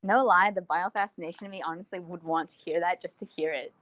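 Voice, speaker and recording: Fast-talking, teasing woman interviewer. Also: fairly low pitch, fairly even intonation, a bit bright. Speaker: podcast interviewer. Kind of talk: casual interview chat.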